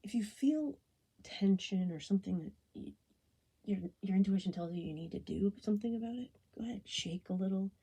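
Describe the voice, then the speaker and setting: A woman guiding a body scan meditation, speaking softly and gently in an unhurried, soothing way, often slipping toward a whisper. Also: medium pitch, expressive. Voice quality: soft, breathy, often falling into a whisper. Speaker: meditation guide.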